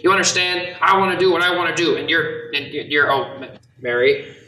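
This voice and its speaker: A man in a radio play acting an earnest, excitable small-town young man, emphatic and stammering when flustered. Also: fairly high pitch, a little fast. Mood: angry, flustered. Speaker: actor in a youth group radio play. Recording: echoey room, faint noise.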